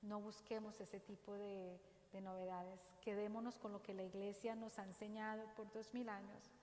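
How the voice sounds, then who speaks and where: A woman with a Dominican accent gives an earnest, exhorting faith talk in a church, speaking slowly and deliberately. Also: medium pitch, flat delivery, a little mellow, slight background noise. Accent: Dominican Spanish. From Dominican Republic. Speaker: parish speaker. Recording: roomy church echo, faint ambience.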